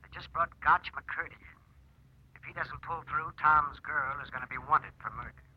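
An actor playing a serious, urgent doctor heard through a telephone effect in a vintage radio drama. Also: male voice, fairly high pitch, normal speed, muffled recording. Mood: grave, urgent. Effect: telephone filter. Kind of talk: 1940s-50s radio acting. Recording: noisy, band-limited old radio broadcast.

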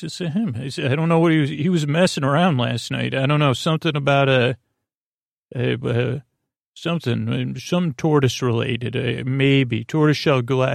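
A man with a creaky voice hosting a sleep podcast, rambling whimsically in a halting, meandering monologue. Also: mid-range voice, no echo, clean studio sound. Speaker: podcast host.

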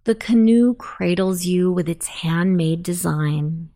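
Female sleep meditation narrator, slightly low pitch, very unhurried pacing, soothing and deliberate delivery. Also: mellow. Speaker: meditation guide.

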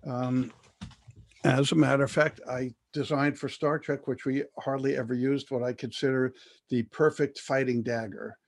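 An older man telling his life story as an interview guest, measured and thoughtful, with frequent fillers and restarts. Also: mid-range voice, flat delivery.

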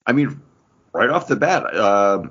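A man with a fairly low, husky voice and an American accent chats casually as a podcast host. The recording is a bit dull and lo-fi. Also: big pitch swings, a little slow, a bit bright.

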